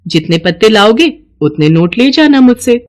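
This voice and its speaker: A woman's voice: a little high, big pitch swings, moderate pace, a little mellow. A woman voicing a sly, playful magical cash machine that talks, teasing and theatrical, in a children's moral story. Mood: fickle, demanding. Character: talking magical ATM machine, female, playful, sly and fickle. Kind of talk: animated moral story dialogue.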